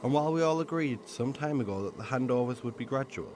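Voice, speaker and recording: A man's voice: medium pitch, expressive, quick pace, heavy background noise, natural voice. A man acting in a radio drama as an opinionated, impatient season spirit, complaining in a blunt way. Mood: firm, confronting. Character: opinionated, impatient male season spirit who defends bees.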